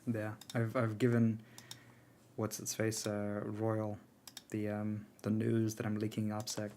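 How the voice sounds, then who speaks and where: A man chatting casually on a live stream, his low voice strained and worn. He talks in a laid-back way, full of ums and soft laughs. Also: completely flat delivery, unhurried, slight background noise. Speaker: streamer and alliance leader answering chat. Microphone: earbuds.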